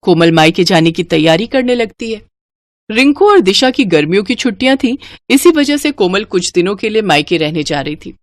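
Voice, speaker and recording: A woman narrating a family cartoon story in a steady, matter-of-fact way. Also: medium pitch, moderate pace, no echo.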